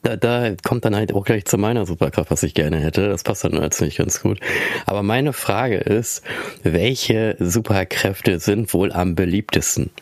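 A hoarse man co-hosting a podcast in casual chat, clearing his throat and using many fillers. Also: on the low side, moderate pace, darkish tone, dry recording. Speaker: podcast co-host.

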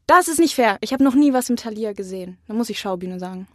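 A woman's voice: a little high, animated, fast talker, darkish tone, dry recording, clean studio sound. A young woman answering interview questions in a casual, spontaneous way. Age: young adult. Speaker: interviewee, incoming acting student.

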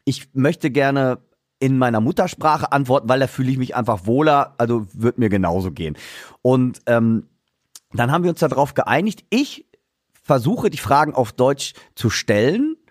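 A man's voice: medium pitch, lively intonation, moderate pace, no room sound, clean studio sound. A man hosting a casual podcast interview, chatty and loose, with many fillers and restarts. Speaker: podcast host and drummer.

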